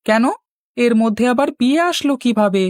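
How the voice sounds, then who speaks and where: A woman plays a stubborn little village boy from a kids' cartoon. The voice is high and youthful, full of cocky banter and boasting, in a dry, close recording. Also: normal speed, a little mellow, no echo, close to an everyday voice. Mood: cocky, teasing. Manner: cocky, bantering back-talk. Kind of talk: children's cartoon dialogue.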